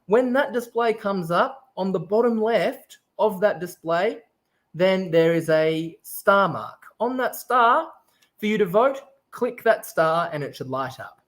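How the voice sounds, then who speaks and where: A man's voice: sits high, a little slow, fairly bright tone. A man raised in Japan, casually hosting an online festival stream and explaining things step by step in an upbeat way.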